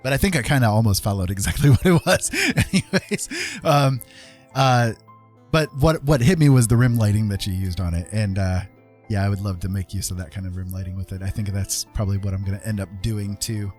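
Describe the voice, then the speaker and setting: A man's voice: lowish voice, a little fast, a little mellow. A man hosting a casual livestream, chatting in an easygoing, rambling, jokey way. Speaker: livestream host and digital artist. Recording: music playing behind the talk. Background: background music.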